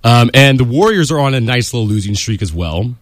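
A man with a slightly raspy voice hosting a casual sports radio show from a studio booth, rambling and upbeat with lots of fillers. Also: medium pitch, lively intonation, brisk, no echo. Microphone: studio mic.

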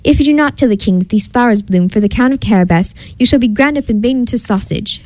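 A woman's voice: fairly high pitch, fast, darkish tone, no echo, close to an everyday voice. A woman voicing a cunning, bold male talking cat servant from a storybook, in a commanding, theatrical way. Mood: commanding threat.

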